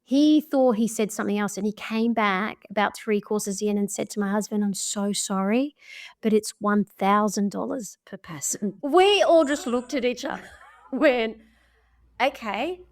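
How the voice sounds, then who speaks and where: An Australian woman telling travel stories as a podcast guest, chatty and quick to laugh. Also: medium pitch. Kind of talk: casual storytelling chat.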